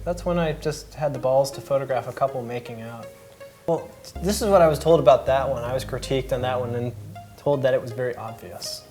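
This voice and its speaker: A woman's voice: a bass voice, brisk, mellow. A woman talking casually and informally as a host and photographer discussing pictures. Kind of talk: casual chat. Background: faint music.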